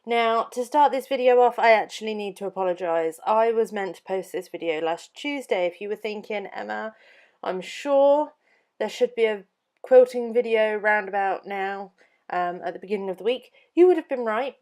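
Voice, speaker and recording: A woman's voice: medium pitch, normal speed, darkish tone. A young adult woman with an English accent, chatting casually through a papercraft tutorial voiceover with plenty of ums. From England. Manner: chatty, lots of ums, laughs easily. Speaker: papercraft YouTuber.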